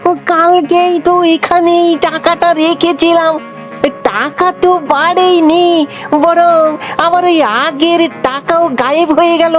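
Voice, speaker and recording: A woman voicing a naive, lazy male fox in a cartoon, chattering excitably and repeating words in a whiny, eager way. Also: very high voice, measured pace, faint music behind. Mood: dismayed, determined. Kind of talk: Bangla cartoon voice acting.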